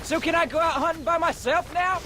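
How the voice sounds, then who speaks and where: A man's voice: very high-pitched, brisk, slight background noise. A male actor playing a rancher's moody, eager teenage son in a video game, with a rural American Western accent and an earnest, questioning manner. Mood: excited, eager. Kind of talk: dramatic video game dialogue. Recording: very loud outdoor horse-ride ambience over dialogue.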